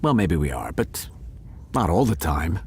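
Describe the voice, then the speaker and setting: An actor voicing a sly, vain talking cat who narrates an audio drama in a wry, confiding manner. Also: male voice, deep voice, big pitch swings, a little slow, slightly rough, heavily acted. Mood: wry, smug.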